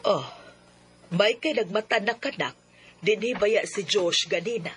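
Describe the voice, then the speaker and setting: A middle-aged Cebuano mother in a radio drama, played by a woman, speaking in a plain, practical way. Faint noise sits behind her medium-pitched voice. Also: unhurried, lightly acted. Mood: matter-of-fact. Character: matter-of-fact mother of a young man. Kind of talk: Filipino radio drama acting.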